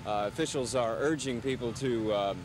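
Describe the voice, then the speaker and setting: A man delivers a field news report in a middle-pitched voice. He is measured and hesitates now and then, with faint roadside noise behind him. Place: outdoors beside a highway. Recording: noisy outdoor field recording.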